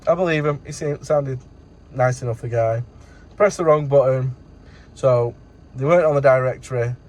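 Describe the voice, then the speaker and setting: A man's voice: medium pitch, moderate pace, darkish tone. A man giving a casual, rambling vlog update as a courier driver, in colloquial everyday speech.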